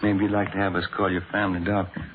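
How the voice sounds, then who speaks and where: An actor playing a gentle, considerate police detective in an old radio drama, plain and subdued in manner. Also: male voice, low voice, level tone, fast, lightly acted. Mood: gentle, solicitous. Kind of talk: 1940s-50s radio drama acting. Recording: phone-like old radio recording, faint noise.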